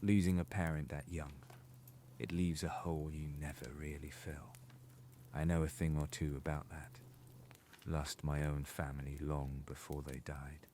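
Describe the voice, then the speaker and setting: A man's voice: rumbling low, flat delivery, normal speed, dark tone, a little hiss or hum. A man playing a wry, world-weary middle-aged godfather in a fan audio drama, fatherly with youngsters. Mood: somber, hushed.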